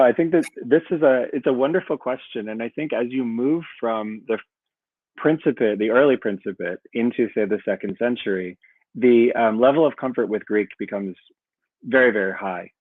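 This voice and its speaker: A man's voice: mid-range voice, moderate pace, no echo. Man on a tinny video call. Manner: thoughtful, with many fillers. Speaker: guest lecturer. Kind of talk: academic Q&A answer. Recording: tinny phone-like call audio.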